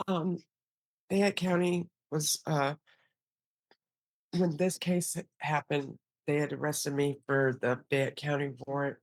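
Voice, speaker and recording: Low-voiced, deferential American woman in court. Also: flat delivery, slow pace, no room sound. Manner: deferential, short polite answers, hesitant when explaining. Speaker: defendant answering a judge. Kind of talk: courtroom testimony.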